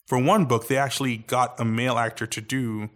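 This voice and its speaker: A man's voice: medium pitch, fairly even intonation, fairly quick, a bit bright. A man co-hosting a podcast, talking casually and thoughtfully, often restarting his sentences as he searches for words. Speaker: podcast co-host.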